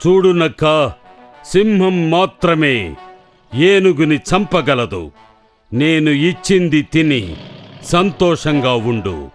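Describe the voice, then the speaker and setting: A man's voice: fairly high pitch, very animated, slow, long pauses, faint music behind. A man voicing a commanding, self-assured lion in a children's cartoon, speaking with authority.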